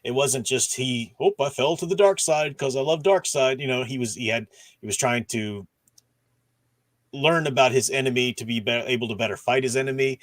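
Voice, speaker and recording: A man's voice: medium pitch, normal speed, no echo. Rambling man hosting a dry-sounding stream. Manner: rambling, searches for names, many restarts. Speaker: podcast host. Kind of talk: casual discussion stream.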